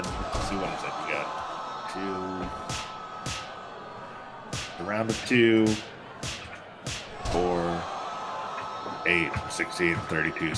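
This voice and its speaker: Male wrestling commentator, low voice, congested and tired, rambling, clear music bed underneath. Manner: rambling, filler-heavy, animated hype. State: congested and sleepy. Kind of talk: live play-by-play commentary. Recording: music playing behind.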